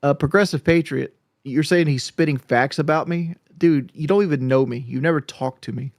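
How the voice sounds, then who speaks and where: A man's voice: a little high, moderate pace, no echo, studio quality. A man co-hosting a livestream, rambling casually with a slight stutter. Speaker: livestream co-host.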